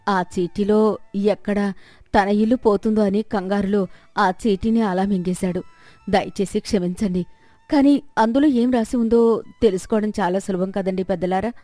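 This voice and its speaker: A woman playing a clever, confident village wife, calm and reassuring, in a dramatized moral story. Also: mid-range voice, normal speed, no room sound, faint music behind, natural voice. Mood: composed, persuasive. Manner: reassuring, composed.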